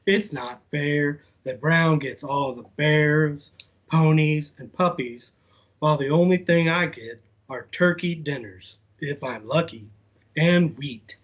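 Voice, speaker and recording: A man voicing a proud, aggrieved beige crayon from a storybook, complaining slowly and deliberately. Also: a little high, dull audio.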